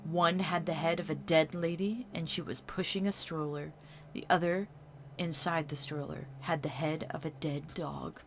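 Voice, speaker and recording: A woman playing a horror visual novel, reading its text in a hush and breaking off into casual reactions. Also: deep voice, fairly even intonation, moderate pace, slight background noise, low-quality recording. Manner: hushed reading broken by chatty reactions. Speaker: game streamer. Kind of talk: reading a game's text aloud with casual commentary.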